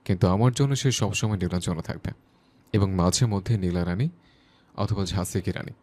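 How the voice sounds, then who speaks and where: A man playing a calm, earnest young man in love in an audio story. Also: deep voice, moderate pace. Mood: tender, promising. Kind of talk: audio story character dialogue.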